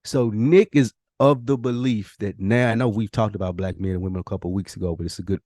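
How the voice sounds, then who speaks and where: A man with a low voice hosts a casual podcast conversation. He talks bluntly in profane slang and likes to put questions to the other person. The sound is dry, with no room to it. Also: steady tone, fast talker, darkish tone, no echo. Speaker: podcast host.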